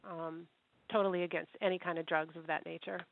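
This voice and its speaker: A middle-aged woman giving a halting, conversational answer on a media conference call. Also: fairly low pitch, little pitch movement, vocal fry, noisy background. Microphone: telephone. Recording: quiet, muffled, dry conference line.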